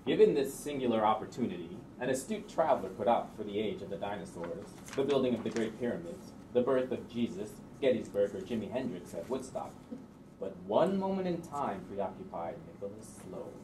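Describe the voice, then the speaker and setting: A man's voice: on the low side, big pitch swings, a little fast. A man playing a wry, dramatic story narrator in a staged screenplay reading. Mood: wry musing. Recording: echoey hall, distant mic, noisy.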